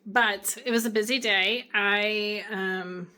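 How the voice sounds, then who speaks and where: A woman in her thirties chatting casually in a home vlog, her voice hoarse from cheering, rambling easily with fillers. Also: mid-range voice, steady tone, slow, sharp tone. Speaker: vlogger, homeschooling mom. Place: at home, kitchen. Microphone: handheld camera.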